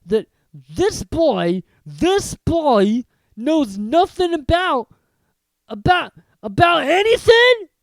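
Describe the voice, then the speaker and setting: A reader voicing a huge, hot-tempered male giant from a storybook, who talks in a loud, gruff rural dialect. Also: sits high, big pitch swings, moderate pace, no room sound, pitched above the performer's own voice. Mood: outraged. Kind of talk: audiobook character reading.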